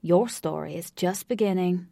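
A woman voicing a large, folksy, jovial elderly man with a slow Southern American cowboy drawl in an audiobook story. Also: lowish voice, gravelly, warm tone, no room sound, studio quality. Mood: reflective, folksy.

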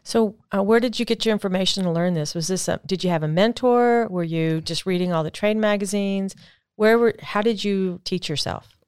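A woman hosting an interview podcast in a studio, chatty and asking quick follow-up questions. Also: mid-range voice, darkish tone, smooth, dry recording. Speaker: podcast host.

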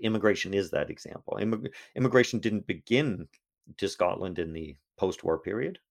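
Low gravelly professor guy on a podcast, lots of restarts. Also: lively intonation, brisk. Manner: thoughtful, many restarts. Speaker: history professor guest. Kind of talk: podcast interview answers.

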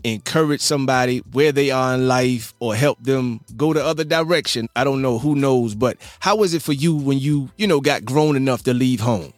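A man from hosting a casual interview podcast, friendly and quick to laugh. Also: mid-range voice, no echo. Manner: friendly, laughs often, says "man" a lot. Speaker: podcast host.

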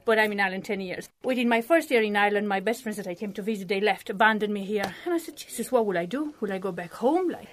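A woman from Romania with a Romanian accent, a podcast co-host telling a story in casual chat, animated and laughing easily, swearing casually. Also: medium pitch, fast, hardly any pauses.

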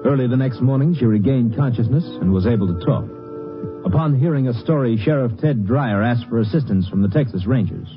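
A man's voice: medium pitch, animated, a little fast, natural voice. A man delivering scripted narration in the style of a 1950s radio drama. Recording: dull old radio recording over music.

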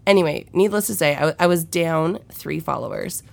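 A woman's voice: animated, moderate pace. A young woman hosting her podcast, talking frankly and swearing freely, with a nasal, stuffed-up voice from a head cold and sniffles. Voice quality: low, gravelly, nasal. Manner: frank and profane, with many fillers. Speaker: podcast host. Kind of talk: candid solo podcast chat.

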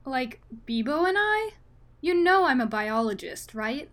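An actress playing a sceptical, casual young scientist in an audio comedy, deadpan and slangy. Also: female voice, high-pitched, unhurried, heavily acted. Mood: doubtful. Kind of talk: comedy audio drama acting.

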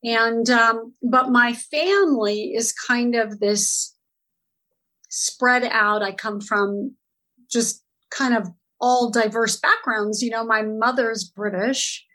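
A woman's voice: fairly high pitch, fairly even intonation, takes it slowly, a bit bright, rough recording. A middle-aged woman from Southern California, a guest telling her family story in a chatty interview, laughing easily and restarting her sentences.

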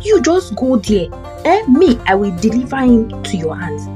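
A commanding, motherly prophetess with a Nigerian accent , played by a woman. Her voice sits high and swoops with lively emphasis, often tagging lines with a pressing question. The style is that of an audio Bible play. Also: moderate pace, music in the background. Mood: brisk, instructing. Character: confident, commanding prophetess Deborah, motherly and firm.

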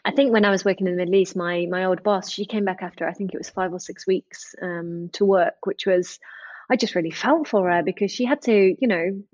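An Englishwoman in her thirties, a parenting counsellor, chatting in an interview, warm and full of restarts. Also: medium pitch, a little fast, dry recording. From England. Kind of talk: casual interview chat.

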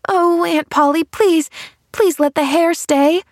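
A woman's voice: high voice, animated, normal speed, dry recording, studio quality, pitched above the performer's own voice. A woman voicing an eager, sweet, chatty little girl in a storybook, earnest and exclamatory. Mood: eager, pleading. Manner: earnest, exclamatory, hesitant when troubled.

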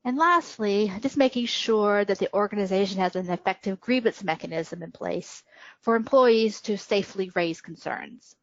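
A woman's voice: medium pitch, moderate pace, no echo. Female senior manager as podcast guest, explanatory business interview, close dry sound with slightly muffled audio.

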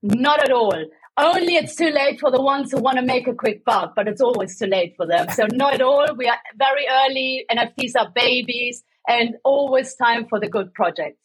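A woman's voice: high-pitched, moderate pace, slight background noise, low-quality recording. A woman from Germany, a guest on a podcast, giving upbeat, emphatic short answers. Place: home setup.

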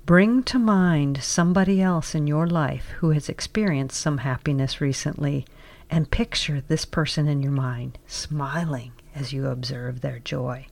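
A woman with a low, gravelly-edged voice guides a meditation. She reads her instructions very slowly and deliberately, setting each phrase down in measured steps. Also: darkish tone. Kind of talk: guided meditation, scripted narration.

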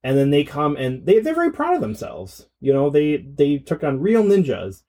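A male podcast co-host with a mellow, slightly husky voice talks casually about film. His pitch moves around a lot, and he works out his thoughts aloud, repeating words as he goes. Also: huge pitch swings, normal speed.

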